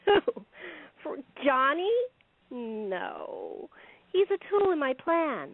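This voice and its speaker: A woman's voice: high voice, big pitch swings, extremely slow, dry recording, full character voice. A woman playing a sinister, coolly confident secretary turned armed robber in an audio play, mocking and self-assured. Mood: smug, scornful. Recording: tinny narrow phone-like sound.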